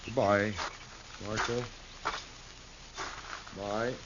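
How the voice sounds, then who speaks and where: Beaten-down simple rancher, american, talks real slow and halting, dull hissy 50s radio. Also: male voice, fairly low pitch, flat delivery. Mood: subdued, grateful. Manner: halting, subdued. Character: weary, wounded-hearted, simple frontier rancher, male. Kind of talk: 1950s radio western acting.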